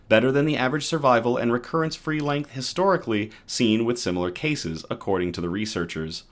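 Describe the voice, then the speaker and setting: Man reading news in a lively voice. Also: medium pitch. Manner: reacts to live chat between readings; stumbles and restarts over technical terms. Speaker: host of a good-news show. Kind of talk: reading news articles aloud with casual asides.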